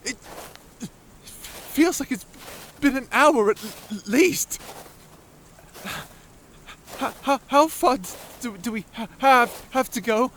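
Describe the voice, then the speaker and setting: Man playing a high-voiced, stammering nerdy wizard. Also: slow pace, long pauses, fairly bright tone, a little hiss or hum, exaggerated voice. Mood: shivering, whiny. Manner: stammering, hesitant, apologetic. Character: shy nerdy young male wizard, anxious, rambling. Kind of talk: character acting in fantasy audio roleplay.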